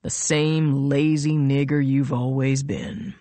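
A narrator voicing a gloating, cruel male villain in an audiobook thriller, with mocking, drawn-out taunts. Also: mid-range voice, level tone, warm tone, dry recording. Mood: contemptuous.

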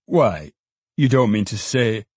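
A man's voice: on the low side, sing-song, slow pace, darkish tone, breathy edge, no room sound, exaggerated voice. A man voicing a poor, good-humoured old father in a storybook, musing and exclaiming in a folksy way. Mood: surprised, fond.

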